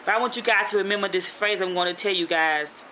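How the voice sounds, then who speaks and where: A woman with a Southern Louisiana accent talks to her viewers in a chatty, rambling way, full of fillers and restarts. Her voice is bright and forward. A faint hiss of noise sits under the recording. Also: mid-range voice, quick pace, rough recording. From Shreveport, North Louisiana. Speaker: YouTube vlogger. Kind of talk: casual motivational vlog.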